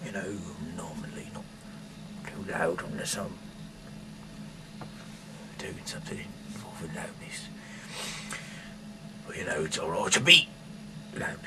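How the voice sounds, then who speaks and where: Very low-voiced, halting man, noisy recording. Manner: halting, bumbling, irreverent. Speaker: amateur reader of scripture, self-styled rock singer. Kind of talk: rambling, informal reading aloud with asides. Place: at home. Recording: noisy amateur home recording.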